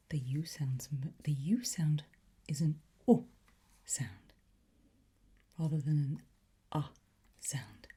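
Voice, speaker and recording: A woman whispers in a breathy, airy voice as an ASMR teacher. Her delivery is extremely slow and deliberate, with words stretched out and carefully shaped. The tone is low and soft-edged. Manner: slow, deliberate, drawn-out enunciation. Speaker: ASMR roleplay host as a teacher of demonic Latin incantations.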